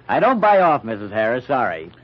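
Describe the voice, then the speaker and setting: Brash hard-boiled radio newspaperman, lively swooping voice. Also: medium pitch. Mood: sardonic. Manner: slangy, blunt, sardonic. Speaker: radio drama actor. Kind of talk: old-time radio mystery acting. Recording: muffled old-time radio recording.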